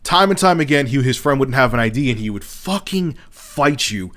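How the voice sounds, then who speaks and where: A man's voice: mid-range voice, lively intonation, moderate pace, fairly bright tone. Heated, profane man ranting. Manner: heated, profane, frequent restarts. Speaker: YouTuber. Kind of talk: opinion vlog rant.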